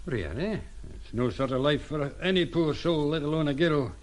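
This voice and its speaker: A man's voice: on the high side, moderate pace, close to an everyday voice. A man playing a genial, fussy sea captain in an audio drama, polite, hesitant and given to musing aloud. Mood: puzzled, musing.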